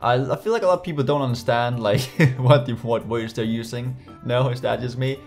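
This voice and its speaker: A man's voice: mid-range voice, brisk, a little mellow, faint music behind. A young man from Denmark with a slight Danish accent, reading comments aloud in his bedroom with sarcastic, laughing asides and lots of restarts. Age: young adult. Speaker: YouTuber. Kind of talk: casual vlog reading comments. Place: small bedroom, indoors. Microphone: USB desk mic.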